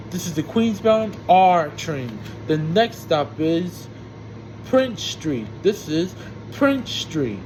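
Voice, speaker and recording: A man reciting subway announcements in a formulaic way, imitating a train announcer and now and then stumbling over words. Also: sits high. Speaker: amateur transit announcer. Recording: loud steady background hum and noise.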